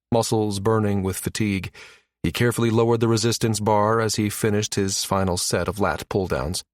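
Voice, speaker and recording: Brief: male narrator for sci-fi audiobook, lower register, very dry studio-tight sound. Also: dry recording. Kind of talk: scripted narration of a science fiction story.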